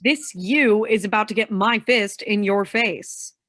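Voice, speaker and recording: A woman's voice: medium pitch, measured pace, a bit bright, dry recording, studio quality, close to an everyday voice. An actress playing a tough, protective woman vigilante in a staged play reading. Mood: threatening.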